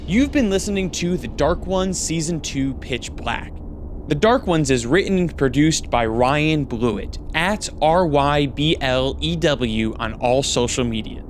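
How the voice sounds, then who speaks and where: A man's voice: a little high, animated, unhurried, a little hiss or hum. A man narrating and announcing a radio drama in a scripted style. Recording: loud music under the voice.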